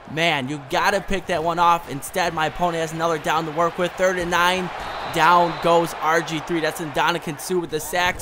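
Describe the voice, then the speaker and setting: Hoarse upbeat young man, gaming commentary. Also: a little high, noisy background. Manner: upbeat, fast-talking, speaks straight to viewers. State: hoarse from a cold. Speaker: gaming YouTuber. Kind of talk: gameplay commentary to viewers. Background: background music.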